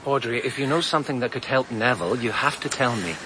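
A man's voice: mid-range voice, expressive, quick pace, noisy background, natural voice. A man playing an earnest former policeman. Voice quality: earnest and persistent. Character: earnest male ex-policeman turned amateur sleuth. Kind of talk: radio drama acting.

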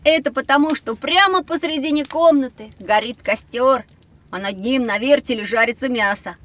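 High-voiced, curious, cheeky child. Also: female voice, normal speed, a bit bright, far from the mic. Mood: curious, lively. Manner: inquisitive, observant. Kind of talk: scripted educational audio drama.

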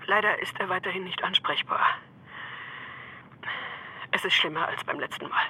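An actress playing the worried wife of a gravely ill man in a radio drama, speaking breathily and with apologetic restraint. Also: lowish voice, moderate pace, sharp tone, full character voice, poor audio. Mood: sad, restrained. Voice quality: breathy, whispery. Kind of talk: radio drama phone dialogue.

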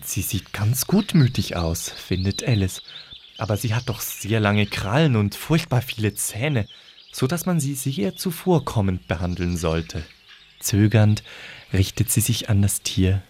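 A man's voice: on the low side, measured pace, darkish tone, slight background noise. A man narrating a scripted fairy tale as the storyteller of an audio drama.

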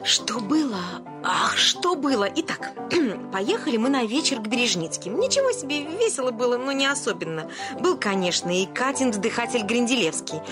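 An actress playing a self-satisfied, gossipy young society wife in a radio play, breezy, gushing and oblivious. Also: female voice, a little high, big pitch swings, normal speed. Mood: gushing, chatty. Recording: music behind the voice.

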